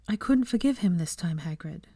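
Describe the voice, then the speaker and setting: A woman voicing an earnest, nervous young woman who speaks softly, politely and tentatively, as in an audiobook. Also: fairly low pitch, lively intonation, moderate pace, breathy edge, no room sound, clean studio sound. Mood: quietly hurt.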